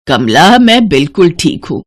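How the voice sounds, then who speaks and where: A woman's voice: on the low side, big pitch swings, measured pace, pitched below the performer's own voice. Lady doing an old mother-in-law in a storybook tale, sorry and grateful, affectionate. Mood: remorseful, grateful.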